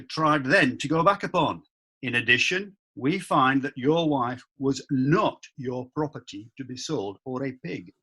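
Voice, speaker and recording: A man playing a medieval court judge who delivers formal, measured rulings. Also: on the high side, sharp tone, husky, full character voice.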